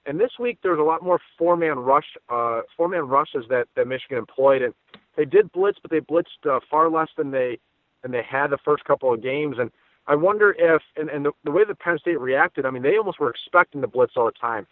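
Fast-talking man on a phone-like line. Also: fairly high pitch, expressive, dry recording. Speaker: sports radio analyst and guest. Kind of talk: sports podcast interview commentary. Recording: dry, phone-like narrow band.

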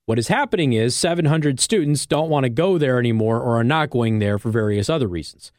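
A dry, close studio recording of an American man hosting news talk radio. His pitch sits in the middle of the male range. He explains things in a conversational way, checking in with listeners as he goes. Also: animated, a little fast, a little mellow, dry recording. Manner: explanatory, checks in with "okay?" and "all right?". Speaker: radio talk show host. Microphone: close studio microphone.

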